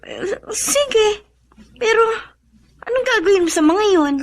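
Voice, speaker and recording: A woman acting in a radio drama as a kind, helpful young older sister, gentle and encouraging. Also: very high-pitched, animated, measured pace, exaggerated voice. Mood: hesitant.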